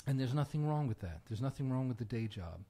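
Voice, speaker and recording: Earnest American man, halting podcast guest. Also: mid-range voice, expressive, warm tone. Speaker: guest musician and broadcaster. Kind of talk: conversational interview answer.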